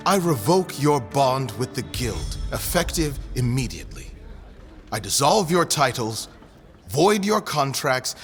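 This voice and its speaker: A man playing a pompous, stern vice chancellor of a scholars' guild in an audio drama, speaking formally over music. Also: medium pitch. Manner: pompous, formal, measured delivery. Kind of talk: scripted audio drama acting. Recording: music behind the voice.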